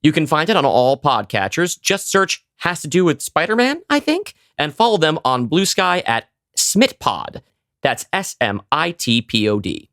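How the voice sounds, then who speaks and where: A man delivers a podcast sponsor read. His voice sits slightly high with lively swings in pitch, recorded dry. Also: moderate pace, no echo. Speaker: podcast host reading sponsor spots. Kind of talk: host-read ad.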